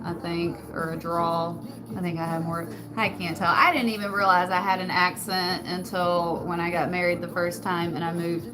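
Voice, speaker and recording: A soft-spoken woman with a rural Western American accent, chatting casually with her viewers and laughing often. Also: lowish voice, low-quality recording. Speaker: channel host. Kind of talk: casual live chat answering comments. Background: background music.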